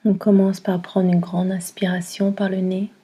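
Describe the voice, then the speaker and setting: A woman guiding a yoga nidra meditation in a calm, soothing manner, with a soft, breathy delivery. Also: mid-range voice, moderate pace. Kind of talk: guided meditation.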